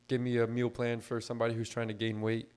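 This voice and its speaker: A man co-hosting a casual podcast, slightly hoarse as his voice recovers, explaining things in a laid-back way with lots of fillers. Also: medium pitch, completely flat delivery, quick pace, darkish tone. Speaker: podcast co-host.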